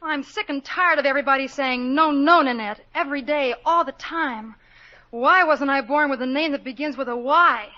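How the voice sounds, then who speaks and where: An actress playing a spirited young woman fed up with being told no, talking in a quick, pouty, playful way, in 1940s radio acting. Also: high voice, pushed and bright. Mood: restless. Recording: dull lo-fi 1940s radio broadcast with music.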